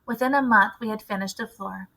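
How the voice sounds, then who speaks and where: A woman reading a storybook aloud like a teacher, with expressive, deliberate phrasing. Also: medium pitch, low-quality recording.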